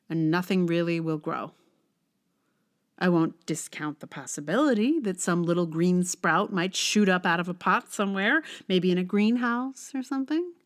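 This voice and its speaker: A middle-aged woman hosting a personal podcast, speaking in an expressive, conversational way with emphasis on key words. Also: lowish voice. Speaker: podcast host and writer. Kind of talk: personal podcast, chatting and reading own blog aloud.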